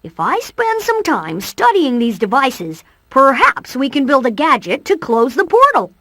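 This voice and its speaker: A woman's voice: sits high, huge pitch swings, a little slow, pushed and bright, no room sound, studio quality, exaggerated voice. A woman voicing a precise, curious boy genius in a cartoon video game, with a bookish, eager manner. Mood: thoughtful.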